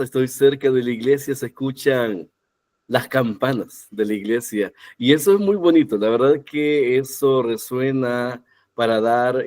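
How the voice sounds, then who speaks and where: A man from El Salvador, a guest speaker and university teacher, talking warmly and enthusiastically from his home. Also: mid-range voice. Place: his home near a church.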